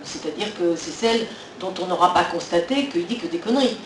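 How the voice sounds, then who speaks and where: A man thinks out loud on a conference panel, hesitant and full of pauses and fillers. He is far from the mic in an echoey hall with some faint noise behind him. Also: sits high, expressive. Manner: hesitant, many fillers, reflective. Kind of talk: panel discussion. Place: conference hall at a trade fair.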